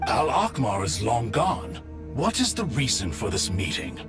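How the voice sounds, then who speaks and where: Animated, skeptical machine saint. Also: male voice, medium pitch, measured pace. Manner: questioning, formal. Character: ancient mechanical saint, skeptical, literal-minded male guardian. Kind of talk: scripted video game cutscene acting. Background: music.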